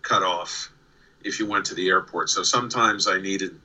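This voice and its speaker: A man's voice: low-pitched, moderate pace, pushed and bright, rough recording. A man in his sixties from New York with a faint New York accent, telling stories as an interview guest over a video call, with frequent restarts and repeated words. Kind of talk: casual storytelling. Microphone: remote video call.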